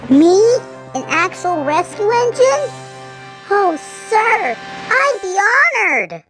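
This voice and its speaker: A woman as a high-voiced, earnest young lady engine. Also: sing-song, slow, exaggerated voice, poor audio. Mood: amazed, honored. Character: kindly female tank-engine character, grieving, earnest and caring. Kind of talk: children's story audio drama. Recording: music behind the voice.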